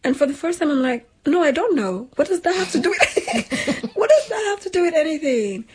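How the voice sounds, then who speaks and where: A young woman from Nigeria co-hosting a casual chat show, talking in an animated, slangy way and laughing often. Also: high-pitched, brisk. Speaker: podcast co-host.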